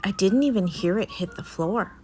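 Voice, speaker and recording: A woman reading a children's storybook aloud as an expressive narrator. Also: mid-range voice, moderate pace. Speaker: story reader, channel host. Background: music and sound effects.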